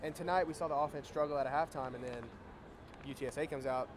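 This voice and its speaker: A young man co-hosting a postgame sports recap, outdoors in a big stadium at night on a lapel mic, briskly leading the talk and asking questions. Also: fairly high pitch, fairly even intonation. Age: young adult. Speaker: co-host and sports editor. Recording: stadium crowd noise behind.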